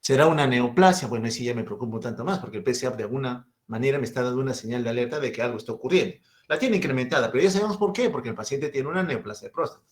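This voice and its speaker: A man's voice: mid-range voice, lively intonation, rapid-fire, hardly any pauses. A man in his fifties with a Peruvian Spanish accent, giving a medical lecture and asking and answering his own questions. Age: 50s.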